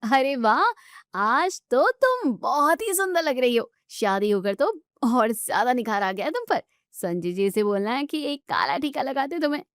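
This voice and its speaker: Woman as a high-voiced, doting young sister-in-law. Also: moderate pace, no room sound, studio quality. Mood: affectionate. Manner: animated, fussing, affectionate.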